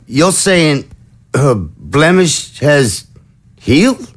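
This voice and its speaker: A man's voice: fairly high pitch, big pitch swings, very slow, heavily acted. A man voicing a flustered undead male president who stammers and startles easily in an audio drama. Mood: astonished. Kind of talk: amateur audio drama acting.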